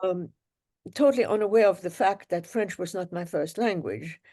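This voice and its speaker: An older woman from Germany speaking as an interview guest. Her voice is mellow and warm, and she recalls her memories slowly and with care, pausing now and then. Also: on the low side. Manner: reflective, measured, with pauses. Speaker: guest, Holocaust survivor. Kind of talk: interview storytelling.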